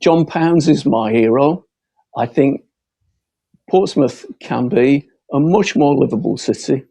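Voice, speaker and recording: A man from Bolton with a northern Lancashire accent, giving a halting, earnest closing speech as a local candidate, often repeating words. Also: medium pitch, lively intonation, creaky, mellow, slightly rough, no room sound. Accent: northern English, Lancashire. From Bolton, Lancashire, England.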